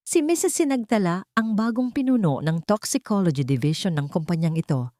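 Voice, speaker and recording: Coolly vengeful tycoon played by a woman, Filipino accent, deliberate and composed, mellow dry sound, story drama. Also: mid-range voice, expressive, no room sound, natural voice. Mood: calm authority. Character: calm, commanding male billionaire, coolly vengeful.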